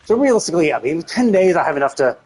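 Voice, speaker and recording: A man's voice: a little high, big pitch swings, rapid-fire, darkish tone, faint music behind. A man doing casual live game commentary, thinking aloud in a rambling way and swearing casually.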